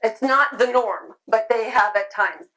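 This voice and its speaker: A middle-aged woman speaking at a convention, chatty and loud-voiced, laughing often as she answers questions. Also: on the high side, a little slow. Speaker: convention speaker on sidewalk counseling. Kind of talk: informal talk with audience questions. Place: convention room.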